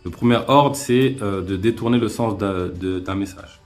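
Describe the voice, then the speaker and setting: A man hosting a calm live-stream debate, reasoning aloud in a hesitant way with many fillers and restarts. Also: fairly low pitch, moderate pace, faint music behind. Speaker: host. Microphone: remote live-stream call.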